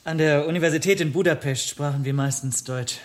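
An actor playing a nervous, polite foreigner under suspicion, hesitant and earnest, in a radio drama. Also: male voice, a little high, fairly quick, long reverb tail, heavy background noise, close to an everyday voice. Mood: evasive, flustered. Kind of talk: German radio drama acting.

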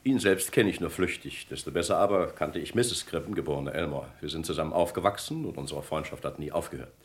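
An actor playing a suspicious, insistent gentleman in a German crime radio play, formal and pointed. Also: extremely low pitch, quick pace. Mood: grave, explanatory. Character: suspicious, insistent gentleman reporting a friend's death.

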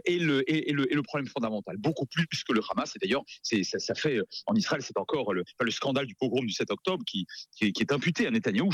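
A man giving live radio analysis as a geopolitics expert, emphatic but halting, repeating words as he searches for the next. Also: medium pitch, husky, no room sound.

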